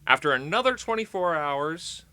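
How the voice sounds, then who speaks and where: A man's voice: on the high side, expressive, normal speed, sharp tone, no echo. An American man vlogging in casual, rambling chat to camera, playful and tongue-in-cheek with dramatic emphasis.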